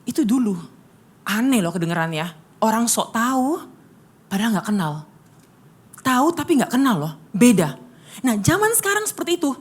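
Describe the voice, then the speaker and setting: A woman from Bali with a lightly Balinese-tinged Indonesian accent, giving a sermon-style workshop talk as a lively storyteller who speaks directly to her audience. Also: fairly high pitch, moderate pace, long pauses, slightly rough.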